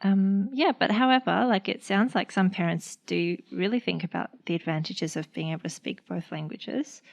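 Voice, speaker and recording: A young Australian woman talks in a relaxed, rambling way on a podcast. Her voice sits low and carries a gravelly fry. She leans on fillers as she thinks aloud. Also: moderate pace. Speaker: speech pathologist. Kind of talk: casual podcast chat.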